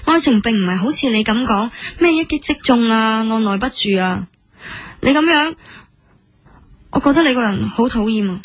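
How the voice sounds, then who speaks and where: A woman's voice: on the high side, lively intonation, normal speed. A woman with a Hong Kong Cantonese accent acting a prickly, proud young café woman who also narrates her story in a radio drama. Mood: indignant. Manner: earnest, indignant, introspective. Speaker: lead actress and narrator. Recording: studio drama with music underneath.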